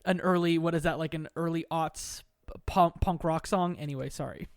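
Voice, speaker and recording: A woman's voice: deep voice, fairly even intonation, moderate pace, no room sound, clean studio sound. A woman chatting casually as a podcast guest, dropping informal, wry asides. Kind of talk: casual chat.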